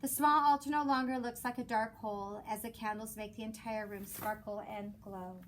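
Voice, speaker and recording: Woman, high voice, schoolteacher, storybook reading style, measured and expressive, noisy recording. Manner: measured storytelling with rhymed cadence. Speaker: elementary school teacher. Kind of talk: expressive children's picture book read-aloud. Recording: clear background noise.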